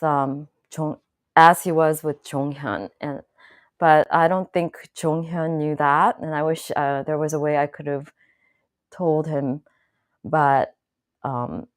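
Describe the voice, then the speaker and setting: A woman hosting her own channel, chatting casually to viewers in a slow, thoughtful way with many fillers and restarts. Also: deep voice, no room sound, clean studio sound. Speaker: channel host. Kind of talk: casual live-stream talk to viewers.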